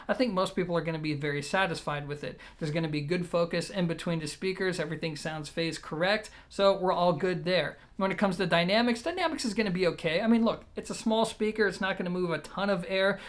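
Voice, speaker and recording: A man with a notably high-pitched voice talks through a gear review for his viewers. His delivery is conversational and methodical, taking one point at a time as he explains what a product offers.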